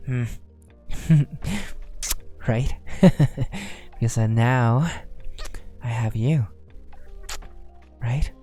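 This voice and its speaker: A man playing a shy, affectionate werewolf boyfriend in a soft, hesitant romantic ASMR roleplay. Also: mid-range voice, extremely slow, long pauses, soft background music. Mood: soothing, loving. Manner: hesitant, affectionate, soft-spoken, often chuckling. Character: shy, tender male werewolf boyfriend, young adult.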